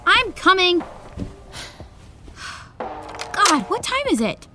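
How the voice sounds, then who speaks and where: An actress playing a groggy, placating young best friend in an audio drama, casual and hesitant. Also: female voice, very high voice, big pitch swings, very slow, pushed and bright, soft background music, full character voice. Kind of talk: naturalistic audio drama acting.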